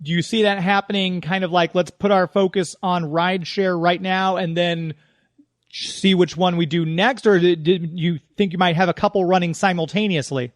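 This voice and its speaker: A male radio host with a noticeably high voice puts questions to a guest in a conversational interview style. The sound is very dry, with no room around him. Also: steady tone, normal speed, dry recording.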